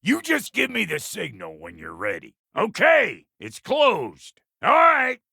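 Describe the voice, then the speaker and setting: A man voicing a gruff, impatient, foul-mouthed dwarf blacksmith in a video game, with a gravelly, raspy voice and folksy, irreverent talk. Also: sits high, wildly swooping pitch, measured pace, pushed and bright, no room sound, studio quality, full character voice. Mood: brisk, hollering. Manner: folksy, irreverent, colloquial grammar. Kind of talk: video game character dialogue.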